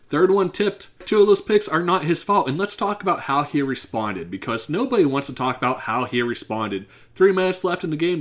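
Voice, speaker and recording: A hoarse man with a Pittsburgh accent hosting his own podcast, talking in a loose, unplanned monologue that keeps speeding up. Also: medium pitch, a bit bright. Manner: rambling and unscripted, drifts into fast talk. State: hoarse from a bad cough. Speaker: podcast host. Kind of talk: casual solo podcast monologue.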